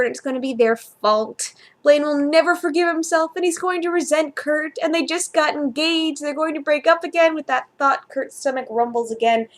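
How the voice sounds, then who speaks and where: A woman vlogger chatting casually and reading a story aloud informally, sometimes stumbling over her words. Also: high-pitched.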